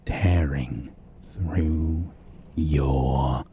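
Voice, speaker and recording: Man playing a very deep, slow sadistic vampire. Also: mellow, slight background noise, exaggerated voice. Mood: mocking, menacing. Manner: slow, menacing, breathy taunting. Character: sadistic, seductive male vampire, cruel and taunting. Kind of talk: ASMR roleplay acting.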